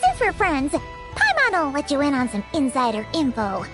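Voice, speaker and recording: Casting: tiny chirpy fairy sidekick, extremely high childlike voice, swooping delivery, music playing loudly behind. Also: female voice, huge pitch swings, normal speed. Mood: chatty, nosy. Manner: refers to self in third person, chirpy, exclamatory. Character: small floating fairy companion, excitable, childlike, nosy. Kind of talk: anime-style game voice acting.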